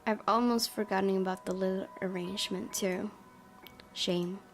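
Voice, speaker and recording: A woman narrates a visual novel in the first person, reading from the script. Her pitch is average for a woman, and music plays clearly behind her. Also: steady tone, normal speed. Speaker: gaming video host reading a visual novel aloud.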